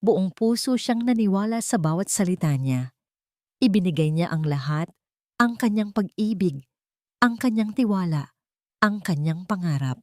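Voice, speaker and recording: A woman with a slightly low voice narrates a scripted love story. Her delivery is dramatic storytelling, captured in a very dry recording. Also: animated, moderate pace, darkish tone, no room sound.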